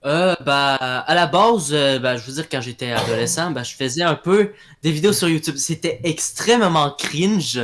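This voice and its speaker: A man's voice: on the high side, animated, normal speed, hardly any pauses, a bit bright. Young Québécois man, hesitant. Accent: Québécois French. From Quebec. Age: young adult. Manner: hesitant, many fillers and restarts. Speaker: guest. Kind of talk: casual interview.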